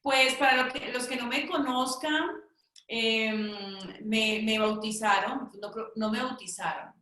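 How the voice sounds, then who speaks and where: A woman with a Colombian accent giving a conversational personal testimony in a reflective way, pausing for short check-in questions. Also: a little high, steady tone, bright, forceful tone, a little hiss or hum. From Cali, Colombia. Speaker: guest speaker, teacher. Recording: very echoey room sound.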